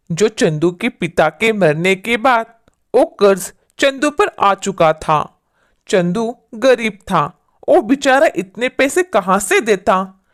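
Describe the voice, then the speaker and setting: Female storyteller for simple moral tales: slow, scripted narration at a medium pitch.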